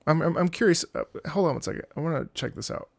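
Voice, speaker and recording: A man's voice: fairly high pitch, lively intonation, fast talker, creaky, slight background noise. A man giving casual, off-the-cuff commentary on a game as a streamer, rambling and thinking aloud with enthusiasm.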